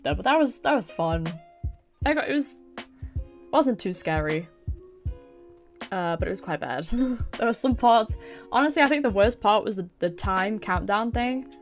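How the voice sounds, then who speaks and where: British girl streaming, just chatting away, sweary and expressive, bit of music in the background. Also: medium pitch, moderate pace, long pauses. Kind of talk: casual livestream chat.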